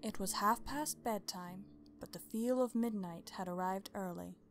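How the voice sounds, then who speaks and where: A woman narrating a story as host, in an expressive, measured, dramatic storybook style. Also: mid-range voice, soft background music.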